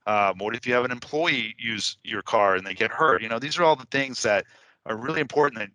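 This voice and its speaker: A man's voice: on the low side, sharp tone, no room sound, rough recording. A man who runs a cleaning business, hosting a casual interview in a loose, chatty way with many fillers.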